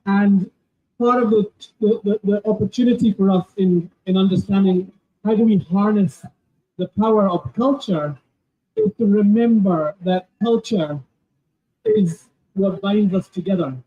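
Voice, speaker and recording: A man whose first language is Gujarati speaks on a panel in a high voice. He talks earnestly and reflectively, often restarting his phrases. The audio is thin and phone-like. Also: a little slow. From Kenya. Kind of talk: panel discussion.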